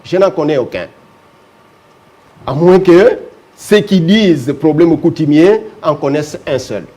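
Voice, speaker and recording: A man speaks in a fairly high but mellow, warm voice. He answers as a guest in a televised interview. He is measured and insistent, and he hammers his points home by repeating them. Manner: measured, insistent, repeats key phrases for emphasis. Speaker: local notable, guest. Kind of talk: TV talk show interview.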